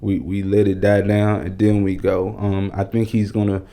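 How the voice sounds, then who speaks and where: Man in his forties, low mellow voice. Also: little pitch movement, moderate pace. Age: 40s. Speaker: podcast guest, brand strategist and producer. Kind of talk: casual podcast conversation.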